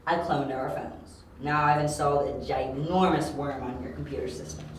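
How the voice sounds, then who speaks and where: Young detective, male actor: analytical, cocky, dryly clipped, fairly high voice, amateur audio drama, distant from mic. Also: steady tone, normal speed, natural voice. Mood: dry, defiant. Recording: very echoey, distant, with faint hiss.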